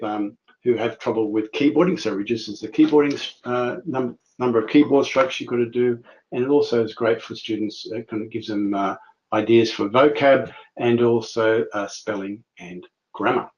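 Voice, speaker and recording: Aussie guy demoing software on a webinar call, lots of ums and restarts, kinda muffled audio. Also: mid-range voice. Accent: Australian. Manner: chatty, halting, many restarts and fillers. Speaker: webinar host demonstrating software. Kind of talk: live software demo on a webinar.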